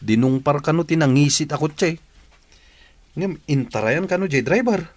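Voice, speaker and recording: A man's voice: a little high, lively intonation, moderate pace, no echo. A man playing a sympathetic, gossipy village friend in a comedy radio drama, talking in a familiar way. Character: gossipy, sympathetic village buddy sharing bad news.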